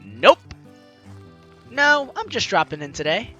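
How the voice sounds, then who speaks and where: A woman's voice: mid-range voice, very animated, takes it slowly, highs cut off, over music. A young woman hosting a let's play, reading game text aloud theatrically with playful, chatty asides. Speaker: gaming YouTuber, host.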